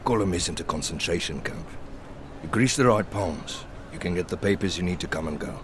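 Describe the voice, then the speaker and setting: An actor playing a blunt, cynical veteran pilot in a video game, sardonic, cocky and colloquial. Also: male voice, sits low, expressive, fairly quick, noisy background. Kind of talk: video game cutscene acting.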